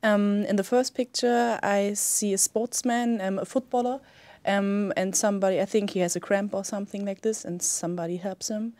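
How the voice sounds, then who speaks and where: A woman's voice: medium pitch, steady tone, normal speed, a little mellow, dry recording. Young german-accented woman fumbling thru exam answers, lots of ums, dry sound. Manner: hesitant, many ums. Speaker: exam candidate.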